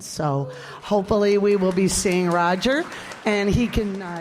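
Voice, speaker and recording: A woman's voice: medium pitch, a little mellow. A woman speaking informally as director and host, introducing performances to an audience. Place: hall, live stage performance. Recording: reverberant hall.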